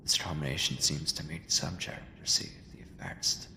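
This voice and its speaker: A man playing an obsessive, controlling mad scientist in an ASMR horror roleplay, speaking deliberately with a breathy, clinical yet doting manner, often near a whisper. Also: rumbling low, fairly even intonation, sharp tone, a little hiss or hum, full character voice. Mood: detached, clinical. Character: obsessive yandere mad scientist, soft-spoken, controlling male captor.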